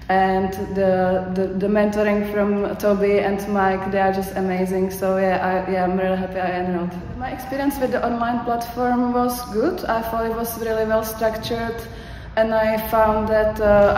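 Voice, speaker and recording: A woman's voice: medium pitch, a little mellow, slight background noise. A young woman with a Czech accent giving a hesitant, unscripted testimonial, full of fillers and restarts. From Czech Republic. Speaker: course graduate giving a testimonial. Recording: echoey hall with faint background ambience.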